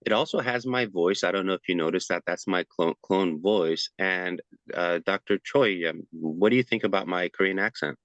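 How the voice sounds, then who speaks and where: A man's voice: low voice, normal speed, hardly any pauses, fairly bright tone, dry recording, muffled recording. A man giving a casual presentation over a video call, chatty and loose, with frequent fillers and restarts.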